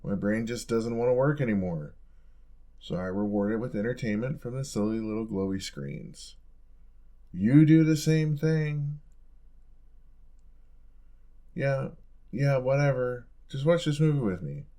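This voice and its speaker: A man's voice: medium pitch, moderate pace, dark tone, close to an everyday voice. A young man playing a caring, teasing college roommate in an intimate, casual audio roleplay.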